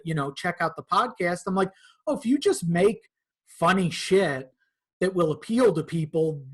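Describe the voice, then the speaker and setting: A man's voice: a little high, fairly bright tone. Casual, profane man chatting on a podcast. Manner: informal, profane. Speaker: podcast guest. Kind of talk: casual conversational interview.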